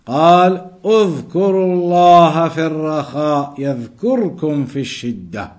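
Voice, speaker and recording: A man with a high voice teaches a religious lesson. He reads from a text and explains it deliberately, going back over phrases to stress them. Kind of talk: Islamic lesson, reading Arabic text and explaining it in English.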